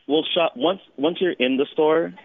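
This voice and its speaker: A man working customer service speaks over a narrow, tinny phone line with no room sound. He explains patiently, in a halting way. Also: fairly high pitch, no echo. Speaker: store customer service worker. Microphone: telephone.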